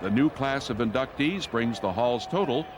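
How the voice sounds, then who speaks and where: A man's voice: mid-range voice, lively intonation, normal speed, heavy background noise. A man reading scripted newsreel narration as the narrator. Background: music.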